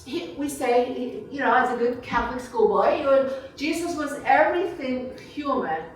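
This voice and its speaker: Woman author at a reception Q&A, very lively intonation, informal and wandering delivery, strongly reverberant room. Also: sits high, normal speed. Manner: animated, informal thinking aloud in broken phrases. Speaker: author answering audience questions. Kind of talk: casual, digressive question-and-answer talk at a book reception. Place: reception room before a small audience. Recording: echoey room, picked up from far off.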